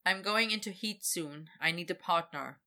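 Victor, a narrator's dry, stubborn, bright-voiced human captive. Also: mid-range voice, moderate pace. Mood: dry, matter-of-fact. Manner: dry, firm, deadpan.

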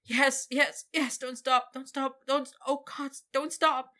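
A woman's voice: sits high, steady tone, unhurried, sharp tone, pitched above the performer's own voice. A woman voicing a desperate young man who pleads breathlessly and stammers.

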